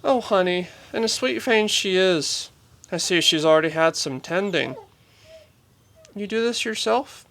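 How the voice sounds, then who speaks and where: An actor playing a weathered, gruff but tender-hearted frontier vet in a Western radio drama. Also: male voice, sits high, expressive, a little slow, a bit bright, heavily acted. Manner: gruff, rustic. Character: lanky weathered grumpy vet, tender toward animals.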